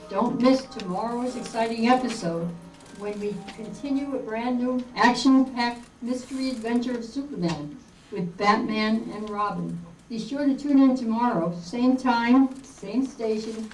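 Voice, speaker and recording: Echoey woman radio announcer, fairly high. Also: unhurried, darkish tone, slight background noise. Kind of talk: old-time radio announcing, scripted. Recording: roomy, distant mic, music behind.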